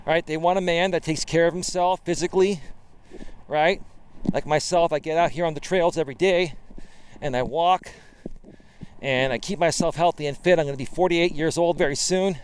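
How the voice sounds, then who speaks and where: A man's voice: on the high side, moderate pace, a little hiss or hum. A man in his late forties, a dating coach, talking while he walks outdoors on a trail and keeps asking "right?" to drive his points home. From Bay Area, California. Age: 40s. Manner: emphatic, keeps tagging "right?". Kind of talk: walking vlog monologue.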